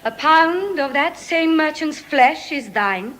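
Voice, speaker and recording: Classical court drama: composed shrewd young advocate, formal, unhurried, lively rise and fall. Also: female voice, high voice, slight background noise, exaggerated voice. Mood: commanding. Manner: measured, deliberate, formal. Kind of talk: classical Shakespearean stage acting.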